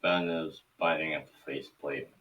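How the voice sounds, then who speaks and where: Low-voiced man narrating, roomy and distant. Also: fairly even intonation, normal speed, highs cut off. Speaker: narrator of a fan table read. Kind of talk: amateur script narration. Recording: roomy, distant mic with faint noise.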